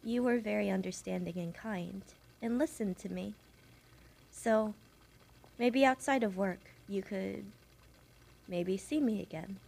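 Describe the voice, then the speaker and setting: A woman's voice: mid-range voice, a little slow, creaky, long pauses, a little mellow, slightly rough, low-quality recording. A woman voicing a shy, kind young witch who works as an assistant nurse, chatty and apologetic, in an audio roleplay for one patient. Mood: shy, flustered. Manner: rambling, apologetic, nervously giggly.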